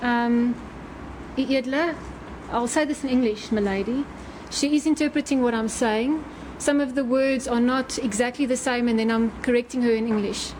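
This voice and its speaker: A woman's voice: on the high side, steady tone, normal speed, noisy background. A woman whose first language is Afrikaans, testifying as a witness in court with an Afrikaans accent, hesitant and correcting herself. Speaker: trial witness.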